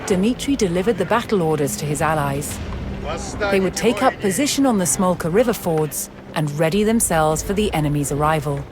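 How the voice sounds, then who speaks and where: A narrator delivering scripted, documentary-style storytelling for a game in a measured, dramatic manner. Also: medium pitch, lively intonation. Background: music.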